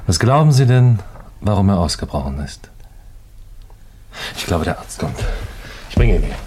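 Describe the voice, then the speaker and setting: Calm male reporter, extremely deep and husky, measured, reassuring, radio thriller role. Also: exaggerated voice. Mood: calm, firm. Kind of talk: radio crime drama acting.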